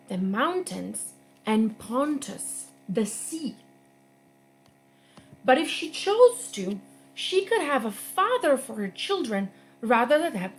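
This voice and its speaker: Slow, lively woman reading a story aloud. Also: a little hiss or hum, poor audio. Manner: slow, expressive read-aloud with lively pitch. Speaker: guest reader.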